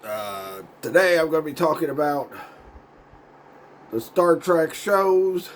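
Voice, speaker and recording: A man hosting his own video show, with a voice gone raspy and hoarse from a sinus cold, chatting in a loose, meandering way, losing his words and chuckling now and then. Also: on the high side, lively intonation, slow pace, a little hiss or hum. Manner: laid-back and meandering, loses his words, chuckles often. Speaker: YouTube show host. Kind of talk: casual rambling vlog chat.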